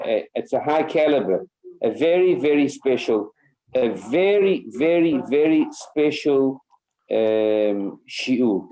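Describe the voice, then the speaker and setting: Rabbi guy on a video call, super dark warm voice, stops and restarts a lot, lots of uhs. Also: mid-range voice, rough recording. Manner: halting, many fillers and repeated words. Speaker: rabbi giving a class. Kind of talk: Torah class over a video call.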